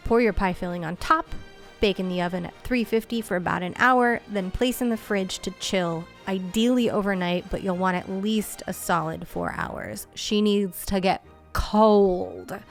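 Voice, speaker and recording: A woman from the United States hosting a video essay, narrating a recipe with dry, sarcastic humor and casual swearing. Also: medium pitch. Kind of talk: casual voice-over narration over cooking footage.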